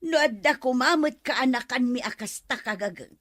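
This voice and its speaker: A long-suffering, grumbling village housewife voiced by a woman in a comedy audio drama. She gossips and complains in a somewhat high voice with a dry, close sound. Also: lively intonation, normal speed, a bit bright, no echo. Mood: gossipy complaint. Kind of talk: comedy radio drama acting.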